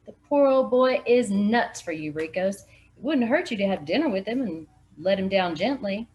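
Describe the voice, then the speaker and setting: A woman's voice: medium pitch, lively intonation, normal speed, darkish tone, close to an everyday voice. A woman reading the lines of a big, playful male deputy who likes to tease his partner, in a storybook mystery. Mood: teasing. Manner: teasing, playful.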